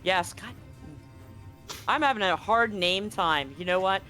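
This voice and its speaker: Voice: mid-range voice, lively intonation, a little slow, bright, forceful tone. Casual sweary game master, music behind. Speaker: game master of a tabletop roleplaying game. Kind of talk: live tabletop game, casual narration and rules talk.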